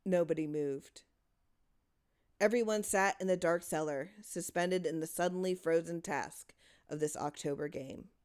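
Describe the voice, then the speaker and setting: A woman's voice: fairly low pitch, a little slow, darkish tone, smooth. A woman narrating a horror short story in a dramatic reading style.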